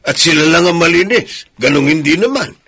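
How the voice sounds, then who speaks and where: A man with a Filipino accent and a husky, gravelly voice playing a fair-minded, concerned family confidant in a radio drama. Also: fairly high pitch, animated, a little fast, sharp tone, exaggerated voice.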